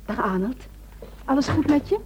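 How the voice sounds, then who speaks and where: A woman's voice: high-pitched, expressive, normal speed, a little mellow. A woman acting in a radio play as a caring, anxious wife, attentive and sometimes hesitant. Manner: attentive, hesitant when flustered. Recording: muffled, noisy old radio recording.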